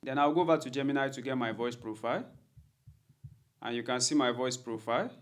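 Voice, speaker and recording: Man, tutorial presenter, medium pitch, methodical, step-by-step instructional narration, repeats key points. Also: a little fast. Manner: methodical, repetitive step-by-step explaining. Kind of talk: instructional screen-recording narration.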